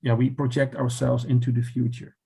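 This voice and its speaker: A man's voice: mid-range voice, level tone, a little fast, creaky, dark tone, breathy edge. A man with a Dutch accent explaining philosophy in conversation, thoughtful and hesitant, often restarting and checking with "right?". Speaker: philosopher guest.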